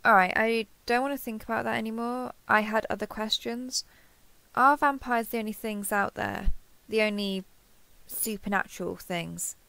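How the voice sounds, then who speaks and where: A woman's voice: fairly high pitch, fairly even intonation, normal speed, creaky, bright, forceful tone, no room sound, rough recording. A woman playing a kindhearted, awkward, easily flustered human in an intimate roleplay audio, hesitant and stammering as she asks curious questions. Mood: curious, overwhelmed. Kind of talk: intimate roleplay audio acting, one side of a conversation.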